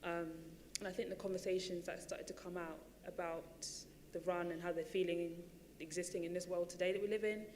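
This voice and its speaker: A woman speaking on a panel in a big theatre, her talk chatty and loose, full of "like" and "um." Her voice sits a little low, and a long reverb from the hall trails each phrase. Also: flat delivery, brisk, hardly any pauses, darkish tone, a little hiss or hum. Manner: chatty, many fillers and restarts. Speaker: panel speaker, running coach. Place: large theatre stage.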